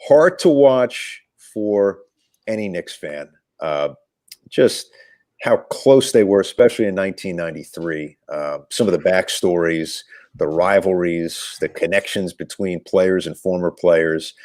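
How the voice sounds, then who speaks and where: A man's voice: low voice, a little slow, darkish tone, dry recording, studio quality. A man giving conversational sports analysis as an interview guest, reflective and emphatic in his phrasing, like a storyteller. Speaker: sports radio play-by-play commentator, interview guest.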